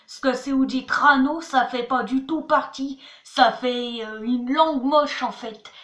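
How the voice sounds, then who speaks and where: A woman's voice: high-pitched, fairly even intonation, measured pace, a bit bright. A woman giving a homemade language lesson, chatty, spelling words out letter by letter and repeating "voilà" and "attention". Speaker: channel host teaching words. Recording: echoey room.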